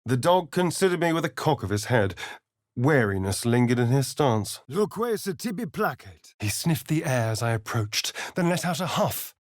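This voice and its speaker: A man's voice: medium pitch, sing-song, normal speed, no echo, studio quality, lightly acted. A man playing a vain, sardonic vampire rogue in a video game, with an arch, theatrical, drawling delivery. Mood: hushed storytelling. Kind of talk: video game character voice lines.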